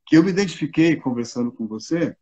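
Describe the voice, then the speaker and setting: Husky Brazilian man, asks "né?" a lot. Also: fairly high pitch, lively intonation, quick pace. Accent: Brazilian Portuguese. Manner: warm affirming, ends phrases with "né?", recites rhymes. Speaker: interviewer and storyteller. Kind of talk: interview with recited verse. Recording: slightly dull, lo-fi sound.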